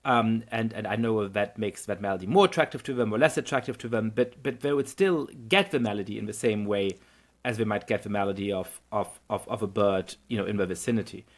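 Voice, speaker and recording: A man's voice: fairly low pitch, a little fast. A middle-aged man hosting an interview podcast, thinking aloud with stumbling repetitions. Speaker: podcast host. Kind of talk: conversational interview.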